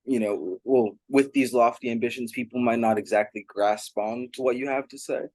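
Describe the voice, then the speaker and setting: A man working as an interviewer, asking long, earnest questions in a measured conversational way. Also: mid-range voice, fairly even intonation, darkish tone, no echo.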